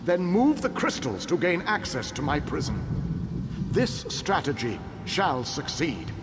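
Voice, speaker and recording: A proud ancient elven king, a cold and calculating tactician for a video game. He speaks in a formal, commanding way, his voice sitting a little high and swinging widely in pitch. Long reverb hangs around him over a loud ambient rumble. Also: wildly swooping pitch, measured pace.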